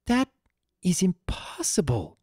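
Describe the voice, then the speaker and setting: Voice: medium pitch, wildly swooping pitch, takes it slowly, dark tone, slightly rough, dry recording, studio quality, pitched above the performer's own voice, exaggerated voice. A narrator voicing a formal, earnest female pony princess in a dramatic story reading, with archaic, stately diction. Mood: alarmed, earnest.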